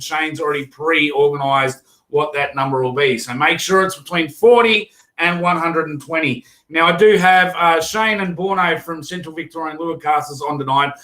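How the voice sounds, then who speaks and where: A man with an Australian accent hosting a casual fishing show, his voice hoarse, talking in a rambling, chatty way with many fillers and restarts. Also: on the high side, bright, forceful tone, rough recording. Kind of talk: casual live stream chat.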